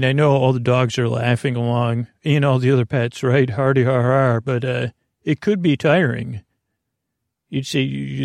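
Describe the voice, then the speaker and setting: Guy with a gravelly fry, meandering bedtime podcast ramble, dry close mic. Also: no room sound. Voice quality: creaky, low soothing tones. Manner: meandering, halting, full of tangents and restarts. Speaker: podcast host. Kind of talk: rambling sleepy-time podcast talk.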